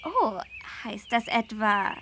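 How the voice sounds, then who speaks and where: A woman's voice: a little high, big pitch swings, takes it slowly, background noise, heavily acted. A woman voicing an eager, polite young girl on a riding holiday in a video game. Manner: enthusiastic, polite. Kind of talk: scripted video game dialogue.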